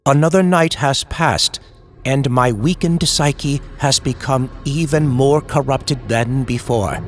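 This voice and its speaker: A man's voice: medium pitch, slow pace, lightly acted. A man playing a tormented horror writer, narrating his fears in a grave, brooding, formal old-time radio style. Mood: dread, anguish. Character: tormented male horror writer fearing demons, guilt-ridden. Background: music.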